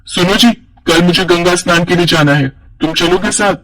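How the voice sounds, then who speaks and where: A woman's voice: fairly low pitch, moderate pace, a bit bright. A woman voicing a haughty, short-tempered merchant's wife in a cartoon story, with a scolding, bossy manner. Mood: asking. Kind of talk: Hindi cartoon story dialogue.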